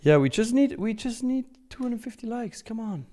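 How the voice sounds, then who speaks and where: A man's voice: extremely high pitch, animated, moderate pace, mellow. A man hosting a live trading stream, chatting casually and informally as he comments and answers viewers, joking and swearing freely. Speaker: live stream host, futures trader.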